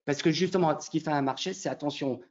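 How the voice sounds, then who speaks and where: A man's voice: fairly high pitch, fast talker. Man explaining, slightly muffled audio. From Quebec, Canada. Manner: didactic, poses questions then answers them. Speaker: interview guest, real estate tokenisation company representative. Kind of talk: explanatory interview talk.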